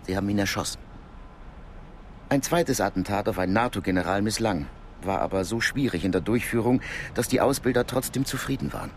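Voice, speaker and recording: An actor playing a calm, knowing Cold War intelligence contact in a naturalistic German radio drama, explaining things in a measured, matter-of-fact way. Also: male voice, lowish voice, slight background noise, close to an everyday voice. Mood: grave, explanatory.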